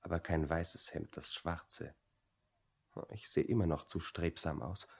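A man's voice: sits low, fairly even intonation, a little slow, gravelly, slightly rough, full character voice. An actor playing an idle, contrary young man, brooding and self-important, in a German radio play. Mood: musing. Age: young adult. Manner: pompous, argumentative.

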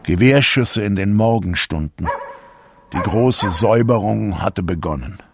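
A troubled male disciple of the early church in a radio drama. He declaims slowly in archaic language, solemn and deliberate, with bitterness and indignation toward those who have cast him out. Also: lowish voice, heavy background noise. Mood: grave, bitter. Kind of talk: literary radio drama monologue.

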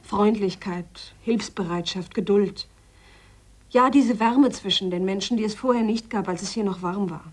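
Husky, earnest woman scientist played by an actress. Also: mid-range voice, moderate pace, lightly acted. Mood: reflective, hopeful. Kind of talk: radio play dialogue, reflective confession.

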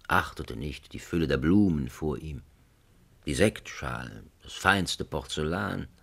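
A man narrating a German radio play as a wry, mock-solemn storyteller, with a gravelly, husky voice and literary phrasing. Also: rumbling low, full character voice. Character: wry, theatrical storyteller of a political satire.

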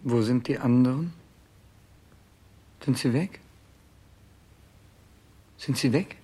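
An actor playing a dying, bewildered, self-absorbed king in a 1960s German radio play, declaiming in a halting, incantatory way. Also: medium pitch, warm tone, breathy edge. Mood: querulous, lost.

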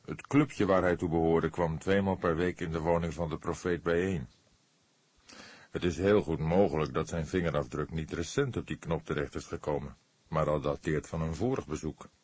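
A man voicing an old, weary police detective, husky, thoughtful and deliberate in his speech. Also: extremely low pitch, darkish tone. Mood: measured. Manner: hesitant, deliberate, measured.

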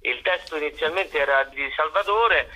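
Guy actor on radio interview, husky bright voice, jokey, keeps restarting sentences. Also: on the high side, moderate pace, rough recording. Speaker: actor and director, interview guest.

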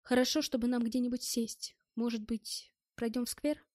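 Женщина читает реплики сдержанного, вежливого героя-мужчины из аудиокниги, говоря успокаивающе и чуть лукаво. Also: female voice, on the high side, normal speed, no room sound, clean studio sound, lightly acted. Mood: composed. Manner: restrained, polite, calming. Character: composed, reassuring male first-person hero, slyly polite.

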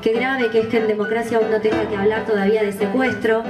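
Distant echoey woman under loud music. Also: fairly high pitch, steady tone, fast.

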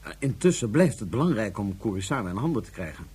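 An actor playing a composed, calculating ruler on Mars who speaks formally and deliberately, with small hesitations, in a radio drama. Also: male voice, on the low side, sing-song, darkish tone, slightly rough, full character voice. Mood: calm, scheming. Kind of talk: Dutch science fiction radio drama acting.

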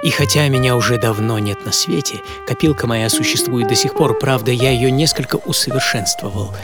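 A man acting as a dreamy, earnest narrator of his own hard boyhood in a radio play. His mid-pitched voice is measured and theatrical, with prominent music underneath. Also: natural voice. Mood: proud, reflective. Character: earnest, dreamy male storyteller recalling his poor, hardworking boyhood. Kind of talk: dramatic first-person radio monologue.

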